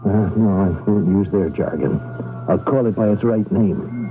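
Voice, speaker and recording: An actor in a 1980s radio drama playing a cautious underground conspirator, giving insistent warnings with dramatic pauses. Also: male voice, fairly low pitch, phone-quality sound, natural voice. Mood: grave warning. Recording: band-limited old radio broadcast, music underneath.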